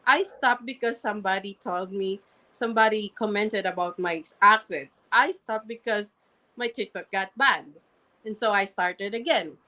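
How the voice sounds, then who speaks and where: A woman's voice: medium pitch, normal speed, pushed and bright. A woman guest with a strong non-native English accent, telling her story earnestly and often repeating and restarting phrases. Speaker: interview guest, registered nurse and online marketer. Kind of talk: candid personal story in conversation.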